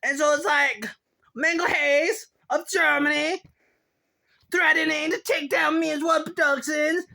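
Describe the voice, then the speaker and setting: A very high, bright, squeaky voice rants in a rambling vlog. The agitated complainer exclaims, repeats phrases and stretches words out. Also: male voice, steady tone, gravelly, heavily acted. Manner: exclamatory, repetitive, drawn-out words. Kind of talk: rambling solo rant.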